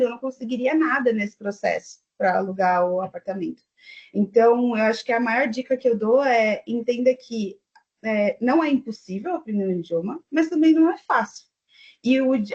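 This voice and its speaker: A woman's voice: fairly high pitch, darkish tone, husky. A woman from Brazil with a Brazilian Portuguese accent, chatting on an online panel and thinking aloud with fillers. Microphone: video call. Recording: dull lo-fi online call audio.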